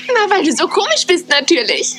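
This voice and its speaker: A very high, teasing squirrel girl. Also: very animated, brisk, music in the background. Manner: teasing, laughing at others. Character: cheeky young forest squirrel, amused and know-it-all. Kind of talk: 1980s cartoon dubbing.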